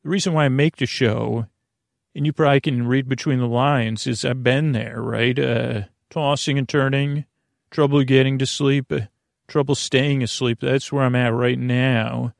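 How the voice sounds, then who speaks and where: A man hosting a bedtime podcast in a rambling, halting monologue, trailing off, digressing and circling back to his point. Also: medium pitch, steady tone, creaky, no room sound, studio quality. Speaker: podcast host.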